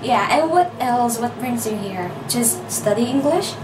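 A young woman with a Filipino accent talks casually as an English teacher. Her voice sits fairly high. She is recorded a little far from the mic in a small, echoey room with clear background noise. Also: animated, unhurried. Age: 20s. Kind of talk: casual interview chat. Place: small indoor lesson cubicle.

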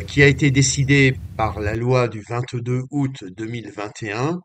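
A man in his sixties with an accent from France, giving detailed, formal explanations as an invited expert on a video call. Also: medium pitch. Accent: French from France. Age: 60s. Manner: methodical, formal, long careful sentences. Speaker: invited expert, legal specialist. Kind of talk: detailed explanatory interview answers. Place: small home room, on a video call.

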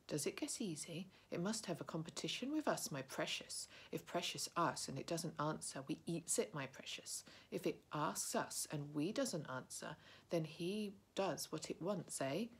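A woman voicing a sneaky, hungry male creature who hisses his words in a slow, creeping whisper. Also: sits low, animated, slightly rough, heavily acted. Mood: sly. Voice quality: hissing whisper.

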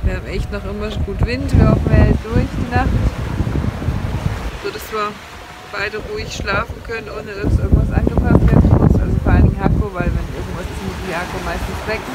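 A woman's voice: medium pitch, steady tone, a little fast. A woman around her thirties chatting casually to camera as a sailing vlogger, outdoors on a boat. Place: outdoors on a sailboat cockpit at sea. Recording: loud wind and boat noise.

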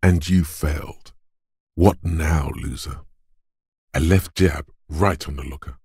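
An actor voicing a gruff, macho inner voice of physical strength for a video game, like a drill coach, blunt, taunting and commanding, with a gravelly, husky tone. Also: male voice, extremely low pitch, slow pace, darkish tone, dry recording, clean studio sound, heavily acted. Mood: blunt, goading, then slow narration.

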